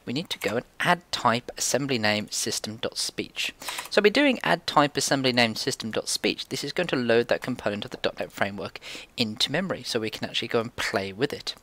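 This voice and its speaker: A man's voice: medium pitch, expressive, fairly quick, pushed and bright. A man giving an informal screen-share tutorial, explaining things in a rambling, conversational way. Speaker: programming tutorial presenter.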